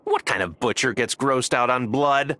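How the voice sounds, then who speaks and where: An actor voicing a quirky, folksy islander in a video game, proud and easily indignant. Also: male voice, medium pitch, normal speed, sharp tone, no echo, lightly acted. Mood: folksy grumbling.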